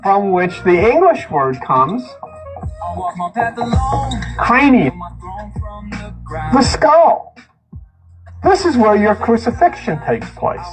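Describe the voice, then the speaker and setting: A man's voice: high-pitched, big pitch swings, slow pace, long pauses, poor audio. A man giving an emphatic, explanatory lecture on word meanings and symbolism. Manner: rhetorical questions, explanatory, emphatic. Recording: played clip with music underneath. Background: music.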